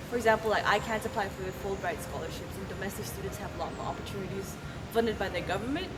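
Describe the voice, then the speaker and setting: A woman's voice: medium pitch, very noisy. A young woman from Indonesia, an international student speaking candidly and upbeat in a documentary interview. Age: young adult. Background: loud music.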